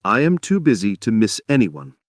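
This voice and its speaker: A man performs a stern and earnest male doctor in a stage play. He sounds grave and admonishing, pitched on the low side. The recording is dry, with no room sound. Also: big pitch swings, normal speed, no room sound. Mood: curt, grave. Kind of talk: dramatic reading of a stage play.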